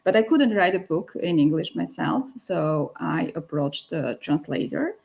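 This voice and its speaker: Guest author, woman, Albanian accent, gravelly edge, cheerful and giggly on a live call. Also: fairly low pitch, lively intonation, unhurried, a little mellow. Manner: cheerful, laughs often between phrases. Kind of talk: live chat interview. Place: at home at night. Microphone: video call.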